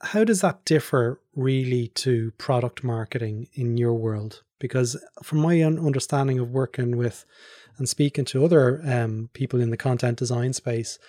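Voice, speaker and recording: Male podcast host, soft-edged mellow tone, very dry recording, conversational and tentative when asking. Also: medium pitch, normal speed, no echo. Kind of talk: casual interview conversation.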